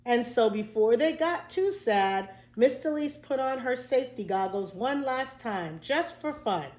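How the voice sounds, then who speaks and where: A woman teacher reading a storybook aloud to children, expressive and speaking directly to young listeners. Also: fairly high pitch, measured pace. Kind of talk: storybook read-aloud with asides. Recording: echoey room.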